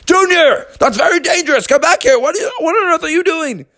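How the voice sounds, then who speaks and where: A fussy father bear scolding his cubs in a raised, lively voice, as a man puts him on. The voice is a little gravelly and sits dry and close. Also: very high voice, brisk, no room sound, voice pushed up, full character voice. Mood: alarmed.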